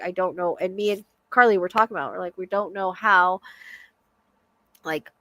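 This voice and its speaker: A woman's voice: lowish voice, a bit bright. A woman hosting a casual live chat as a psychic medium, with a soothing voice and a chatty, hesitant way of talking full of fillers.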